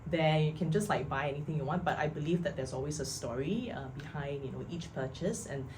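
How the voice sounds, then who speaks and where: Chatty low-voiced woman host. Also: a little fast, noisy background. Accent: Singaporean English. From Singapore. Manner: warm, encouraging, chatty with fillers. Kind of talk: casual chat.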